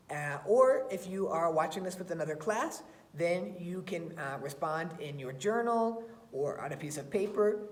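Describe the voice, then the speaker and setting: A woman teacher reading aloud and talking to students in a deliberate, emphatic way. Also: fairly low pitch. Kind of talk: expressive read-aloud and lesson talk for children. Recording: roomy, echoey sound.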